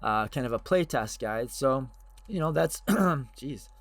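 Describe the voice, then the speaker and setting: A man hosting his own channel, hoarse and losing his voice, talking casually and unscripted with frequent fillers and restarts. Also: medium pitch, expressive, slow pace, dry recording. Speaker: channel host.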